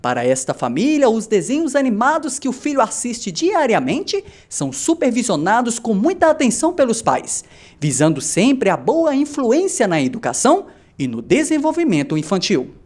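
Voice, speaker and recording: A woman reporter reading a scripted news narration in an expressive voice. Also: on the high side, normal speed.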